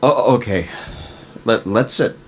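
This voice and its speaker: A man at a screenplay table read playing a guilt-ridden father who speaks haltingly to his estranged grown daughter. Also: on the low side, a little hiss or hum. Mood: nervous.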